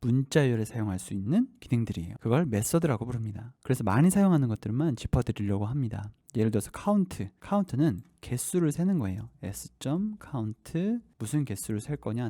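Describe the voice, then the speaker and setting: A man's voice: mid-range voice, sing-song, fairly quick, mellow, no room sound, studio quality. A man in his thirties teaching a programming lesson step by step, often asking the listener questions as he types. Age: 30s. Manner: explains step by step while typing, asks the listener questions. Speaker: coding instructor. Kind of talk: screen-recorded programming tutorial.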